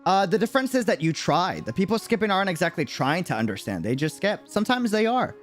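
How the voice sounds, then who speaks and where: Male game streamer, lively swooping intonation, earnest chatty explainer, faint music behind him. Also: high voice, quick pace. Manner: conversational, earnest, explaining by examples. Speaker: game livestreamer. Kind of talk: casual livestream commentary.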